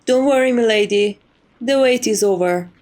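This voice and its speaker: A woman playing a polite, devoted maid to a vampire mistress in an ASMR roleplay, formal and composed with quiet menace. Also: on the high side, normal speed, lightly acted. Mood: courteous, composed.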